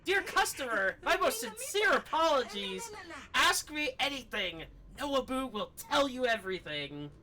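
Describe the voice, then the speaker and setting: A streamer voicing an eager, fawning little robot shopkeeper from a video game, excitable and anxious to help. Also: sits high, a little slow, pitched above the performer's own voice, full character voice. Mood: eager, obliging. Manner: excitable, servile, helpful.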